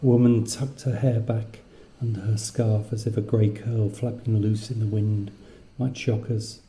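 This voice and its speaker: A man's voice: lowish voice, level tone, measured pace, mellow, echoey room. A man reading scripted literary narration as the writer and narrator of a story.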